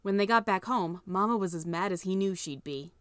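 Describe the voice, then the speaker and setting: A woman's voice: medium pitch, brisk, no room sound, studio quality. A woman narrator reading a scripted children's story.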